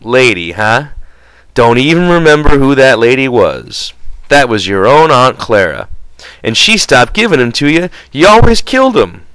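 A man voicing a gruff, short-tempered itinerant farm worker in an audiobook, brusque and commanding. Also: medium pitch, big pitch swings, moderate pace, a bit bright, no echo, voice pushed up, poor audio. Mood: scolding.

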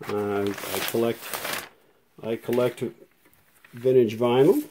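A man's voice: medium pitch, fairly even intonation, slow, dark tone, low-quality recording. A man casually demonstrating a vintage radio repair at his workbench, talking in a rambling, hesitant way with many fillers, filmed one-handed on a phone. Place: workshop bench with fluorescent lights. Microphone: handheld phone camera, one-handed. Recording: background hum from fluorescent lights.

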